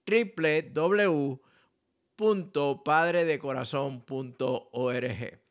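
A man with a Puerto Rican accent, a pastor and ministry director delivering a scripted message slowly and deliberately. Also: fairly high pitch. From Puerto Rico. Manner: slow, deliberate, spells out contact details carefully. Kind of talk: scripted radio-style message.

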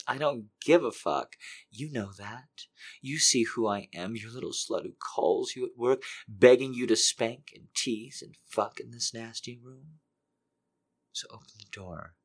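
A man voicing a needy, submissive adult woman who pleads in a soft, breathy half-whisper. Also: medium pitch, fairly even intonation, brisk, hardly any pauses, pitched above the performer's own voice, lightly acted. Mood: pleading.

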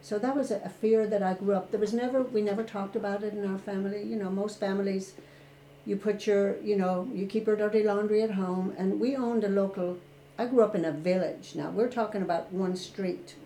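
Older Irish woman, candid reflective guest, warm tone, roomy sound. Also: medium pitch, fairly even intonation, brisk, slight background noise. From Ireland. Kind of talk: personal recovery story in a podcast interview.

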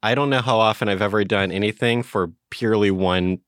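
A man's voice: on the low side, fairly quick, a bit bright, dry recording, clean studio sound. A man co-hosting a casual theology talk, curious and amazed, asking questions. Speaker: podcast producer and co-host.

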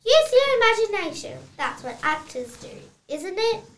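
Bossy little film director, played by a child, high bright voice, echoey room. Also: big pitch swings, moderate pace, heavily acted. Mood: exasperated. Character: bossy, impatient movie director of a low-budget western. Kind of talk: children's read-aloud play.

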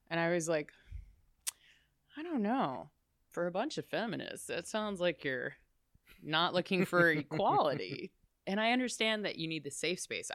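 A young adult woman talking as an interview guest, loud and chatty, laughing often. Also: low-pitched, no echo, clean studio sound. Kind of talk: casual interview chat.

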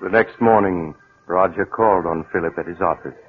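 A man playing the dramatic, deliberate storyteller of a 1940s radio mystery. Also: deep voice, lightly acted. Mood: ominous. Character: ominous male storyteller of a mystery thriller. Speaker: narrator. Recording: old tinny radio recording with music.

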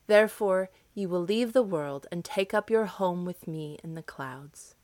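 Lady doing a great spirit, old and commanding, loud and solemn. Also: fairly low pitch, measured pace, dry recording, natural voice.

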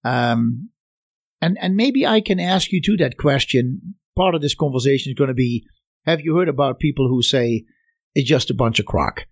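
A male radio host speaks with a foreign accent. His pitch sits in the middle, and he talks in halting bursts with fillers and restarts. The studio sound is dry and close, with no room echo. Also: expressive. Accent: foreign accent, not specific. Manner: hesitant, many restarts and fillers. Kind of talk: conversational radio interview.

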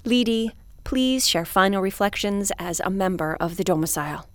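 A woman's voice: mid-range voice, expressive, dry recording, natural voice. A woman playing a formal, dry-sounding station commander. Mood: solemn, ceremonial. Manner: formal, measured, bureaucratic. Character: space station commander hosting a final-reflections show, officious, weary. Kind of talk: audio drama acting, broadcast host address.

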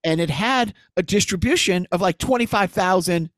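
A man's voice: sits high, normal speed, a bit bright, no echo. Rambling man, dry close sound. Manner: rambling storyteller, jumping between anecdotes. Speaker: podcast host. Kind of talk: casual chat.

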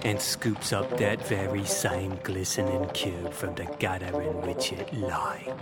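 A man's voice: low voice, fairly even intonation, measured pace. A man giving florid, dramatic scripted narration for an audio drama. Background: loud music.

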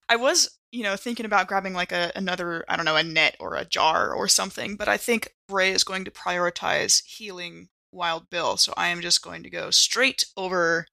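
A woman's voice: on the low side, normal speed, vocal fry, pushed and bright, no room sound. A woman playing a tabletop role-playing game, chatting informally and thinking aloud as she improvises.

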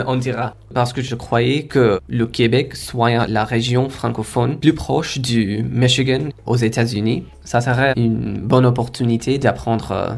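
A young man from India whose first language is Korava gives an earnest, reflective personal account. His voice is gravelly and sits at a middle pitch. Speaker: language learner and teaching assistant.

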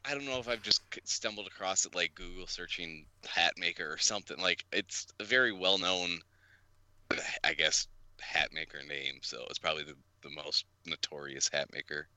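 A man's voice: low voice, normal speed, pushed and bright, no echo. A man co-hosting a podcast in casual chat, hesitant and often repeating his words. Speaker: podcast co-host.

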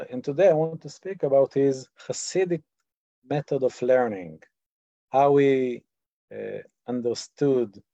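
A man with an Israeli accent gives a lecture over a video call, picking his way slowly through his points with many fillers and restarts. His voice is dark and relaxed. The call audio is dull and dry. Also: medium pitch, vocal fry, no echo. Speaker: teacher.

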